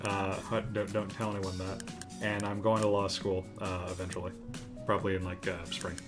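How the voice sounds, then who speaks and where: A man's voice: low voice, level tone, moderate pace, music in the background. A young man with a Californian accent co-hosting a live stream, talking in a rambling, opinionated way with casual swearing. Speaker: streamer co-host.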